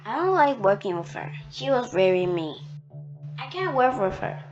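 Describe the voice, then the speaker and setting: A woman's voice: on the high side, very animated, normal speed, a little mellow, muffled recording, faint music behind. A woman voicing a sensitive, earnest young girl dancer in an amateur roleplay drama, recorded in an echoey room. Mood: hurt.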